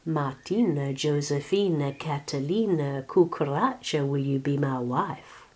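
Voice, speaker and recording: A woman's voice: deep voice, darkish tone. Woman playing a sly, hissing lizard suitor. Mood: oily, seductive. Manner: oily, hissing, drawn-out. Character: sly, slithering, predatory male lizard suitor.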